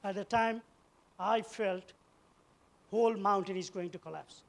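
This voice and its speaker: A middle-aged man from eastern Nepal with a Nepali accent, telling a personal story as a public speech in short, halting, emphatic phrases. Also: sits high, steady tone, gravelly. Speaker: event speaker, former soldier.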